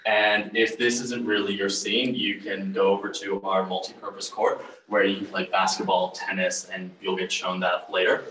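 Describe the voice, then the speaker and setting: A man's voice: lowish voice, bright, forceful tone. A young Australian man giving a casual walk-through as a student tour guide, recorded indoors from a few steps away. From Sydney, Australia. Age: young adult. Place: indoor gym and corridors. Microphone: phone camera a few steps away. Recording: echoey, distant, faintly noisy indoor sound.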